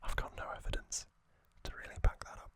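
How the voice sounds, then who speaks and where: An ASMR host whispering slowly, rambling through trivia with fillers and musings. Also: no echo. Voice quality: unvoiced whisper.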